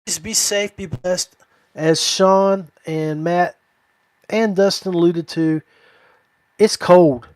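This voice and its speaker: A man's voice: high-pitched, fairly even intonation, slow, long pauses, dry recording. A man hosting a podcast, chatting casually and addressing guests and listeners. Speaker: podcast host. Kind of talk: casual podcast chat and sign-off.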